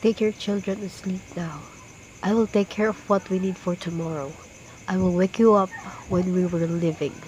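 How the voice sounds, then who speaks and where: A woman calmly reading dramatic dialogue in a student radio play. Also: fairly low pitch, normal speed, lo-fi sound, slight background noise. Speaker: student voice actor. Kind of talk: amateur radio drama acting.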